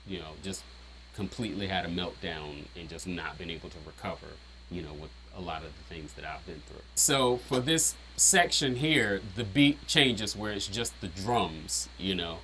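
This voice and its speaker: A man with a deep voice casually breaking down his own rap lyrics, rambling with lots of "um" and "you know". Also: expressive, moderate pace, sharp tone, slight background noise, poor audio. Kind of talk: casual explanatory chat.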